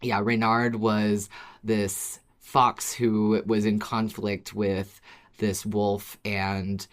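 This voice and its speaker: This is a co-host on a casual fan podcast, with a middling pitch. The talk is conversational and playful. They readily back up the other host before adding a thought. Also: level tone, very slow.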